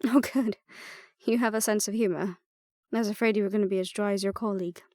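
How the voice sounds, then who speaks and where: A woman's voice: medium pitch, steady tone, fast talker, mellow, no echo, close to an everyday voice. Woman playing dry-recorded, wry lady adventurer. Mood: composed, wry. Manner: self-deprecating, wry, awkwardly rambling. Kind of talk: audio roleplay acting.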